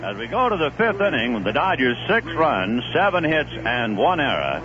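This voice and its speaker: A man calling a baseball game play by play on radio, with a relaxed storytelling delivery that rises sharply on big plays, heard from an old 1970s broadcast. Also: mid-range voice, moderate pace, lo-fi sound, crowd noise. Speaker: play-by-play announcer. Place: broadcast booth at a stadium.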